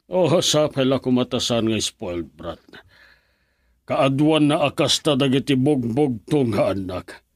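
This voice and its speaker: A man's voice: mid-range voice, animated, unhurried, dry recording. An actor with a northern Philippine accent playing a strict, fretful middle-aged father in a radio drama, grumbling and exclamatory. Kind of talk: Ilocano radio drama acting.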